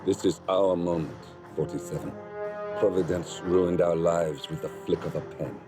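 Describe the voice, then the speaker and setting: A man acts out a weary, vengeful rebel leader in a cinematic game. His voice is extremely low, gruff and brooding. He speaks tersely over a loud, swelling score. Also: fairly even intonation, moderate pace, music in the background, lightly acted. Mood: grim resolve. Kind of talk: cinematic video game voice acting. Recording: loud film score underneath.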